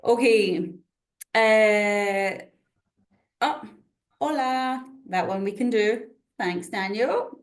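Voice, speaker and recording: A woman's voice: medium pitch, drawn-out words. A Scottish woman from Scotland hosting an online session over a video call, chatty and friendly, with frequent fillers. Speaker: webinar host.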